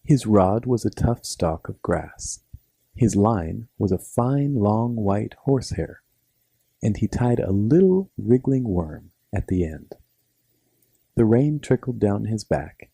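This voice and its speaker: A man's voice: fairly low pitch, big pitch swings, takes it slowly, creaky, warm tone, breathy edge, dry recording. A man narrating a scripted bedtime story for children as its storyteller.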